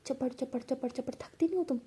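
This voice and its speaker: A feisty young woman from a told romance, played by a woman storyteller. She is cheeky and easily offended, and trips over words when caught out. The voice is husky and very mellow. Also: high voice, quick pace.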